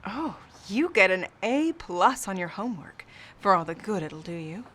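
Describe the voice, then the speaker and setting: An actress playing a cool, mysterious femme fatale film star in a 1940s noir radio play, arch and poised. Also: female voice, medium pitch, sing-song, normal speed, a bit bright. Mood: arch, teasing. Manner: arch, poised, coolly evasive.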